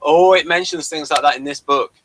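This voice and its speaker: A man's voice: a little high, a little fast, fairly bright tone, a little hiss or hum. A man hosting a casual review discussion of a TV series, emphatic and given to repeating his words.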